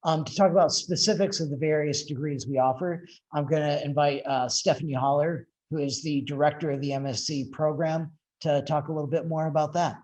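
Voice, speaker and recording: A middle-aged man from outside Ireland who heads a school, giving a relaxed information talk with frequent ums. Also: on the high side. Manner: relaxed, many ums, measured. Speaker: head of economics school.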